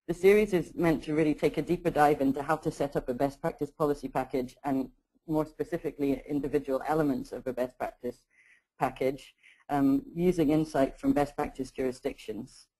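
Female webinar host, measured, reads her intro. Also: low-pitched, dark tone, rough recording.

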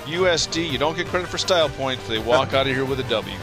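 A man's voice: medium pitch, expressive, fast talker, music in the background. A man in his thirties, a color analyst breaking down a basketball game at a courtside arena desk, talking conversationally into a headset mic and often repeating words as he starts phrases. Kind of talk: postgame analysis commentary.

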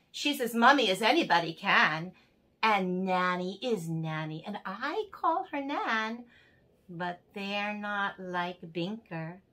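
A woman's voice: mid-range voice, bright, forceful tone. A woman in her sixties voicing a fond, earnest little boy in a storybook poem, in a singsong, confiding way.

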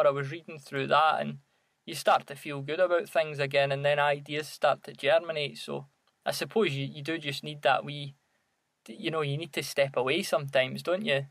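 A man's voice: fairly high pitch, vocal fry, fairly bright tone, no room sound. A Scottish man co-hosting a podcast, chatting casually in a hesitant, reflective way. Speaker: podcast co-host.

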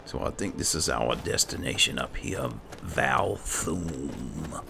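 A man with a gravelly voice giving laid-back, casual roleplay commentary as he plays a game, muttering to himself. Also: rumbling low, expressive, slow. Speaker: gaming video host, player. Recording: clear game ambience noise behind. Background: video game ambience.

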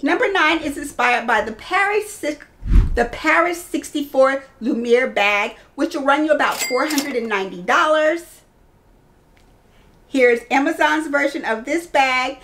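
A woman in her thirties with a fairly high voice hosts a casual fashion showcase. She talks chattily and straight to her viewers, presenting items one after another from a small indoor room. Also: lively intonation, a little slow, a bit bright, a little hiss or hum. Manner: chatty, addresses viewers as girls and ladies. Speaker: fashion vlogger and host. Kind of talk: casual product showcase to camera. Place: small indoor room lined with clothes and bag shelves.

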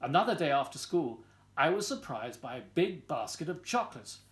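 Man in his sixties, slightly high voice, lively intonation, children's storybook reading, expressive and deliberate delivery, home living room. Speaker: volunteer story reader.

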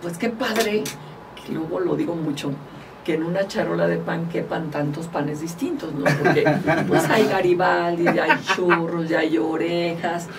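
A woman's voice: lowish voice, fairly even intonation, normal speed, gravelly, recorded from a distance, noisy background. A woman from Mexico, a guest politician, chatting casually and animatedly in an interview, often laughing as she tells stories. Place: small studio, indoors. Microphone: table mic, far from speaker.

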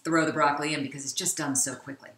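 A woman's voice: low-pitched, fairly even intonation, quick pace, fairly bright tone. A middle-aged woman co-hosting a casual cooking video in her home kitchen, chatty and laughing often as she explains each step. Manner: chatty, laughs often, gestures while talking. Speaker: cooking show co-host. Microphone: camera mic across the kitchen. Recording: echoey kitchen.

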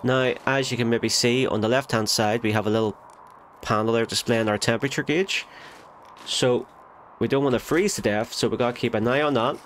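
A man's voice: mid-range voice, steady tone, brisk, slight background noise. A man with a nasal voice from a recent illness, chatting casually over a game and correcting himself as he goes. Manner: chatty, self-correcting, thinks aloud. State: nasal from a cold. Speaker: gaming channel host. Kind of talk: casual let's play commentary.

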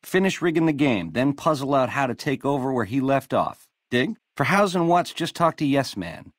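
Cocky american casino gangster from a video game, slick retro swinger talk, pitch jumps all over, dry close sound. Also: male voice, very animated, normal speed, no room sound. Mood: conspiratorial. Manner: swaggering retro lounge-lizard slang, calls people baby. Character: slick, cocky male casino gangster boss, smooth-talking schemer. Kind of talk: video game character dialogue.

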